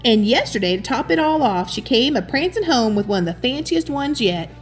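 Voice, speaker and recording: A woman reads a children's picture book aloud. Her voice is on the high side and swings expressively, with affectionate, chatty asides to her listener. Soft music plays behind her. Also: lively intonation, a little fast. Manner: affectionate, addresses the listener as sweetheart. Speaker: children's storybook reader and host.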